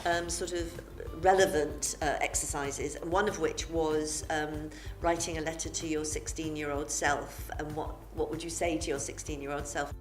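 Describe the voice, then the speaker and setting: A woman's voice: low voice, steady tone, normal speed, few pauses, soft background music. A middle-aged woman talking conversationally as a course leader recounting her work.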